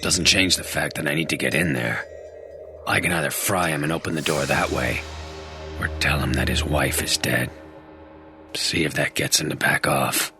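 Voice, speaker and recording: Cynical, ruthless American antihero in a video game; deep gravelly voice, deadpan, over heavy score. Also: male voice, normal speed, music in the background. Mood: cold deliberating. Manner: brooding, terse, deadpan. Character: cynical, ruthless male antihero with electric powers. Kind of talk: video game character narration and dialogue.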